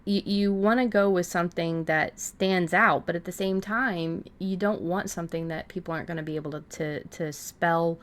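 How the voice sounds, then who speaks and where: A woman's voice: fairly low pitch, fairly even intonation, normal speed, hardly any pauses. A woman co-hosting a podcast, talking in an informal, loosely rambling way. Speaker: podcast co-host and fiction writer. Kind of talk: casual podcast chat.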